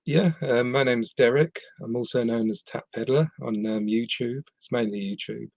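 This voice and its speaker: A man's voice: mid-range voice, normal speed, vocal fry, darkish tone, no echo. A middle-aged man from the West Midlands, a podcast guest talking hesitantly with many ums. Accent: English, not Scottish. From West Midlands, England. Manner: hesitant, frequent ums and restarts. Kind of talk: casual interview chat.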